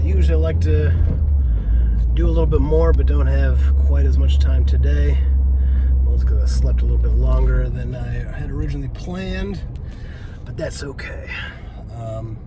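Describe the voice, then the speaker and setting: A congested man in his thirties, rambling casually to camera with fillers and swearing after leaving the gym outside. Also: moderate pace. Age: 30s. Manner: loose, rambling self-talk with lots of fillers and swearing. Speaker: vlogger. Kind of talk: casual post-workout vlog. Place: outdoors by a road, leaving the gym. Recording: loud traffic noise outside. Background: traffic rumble.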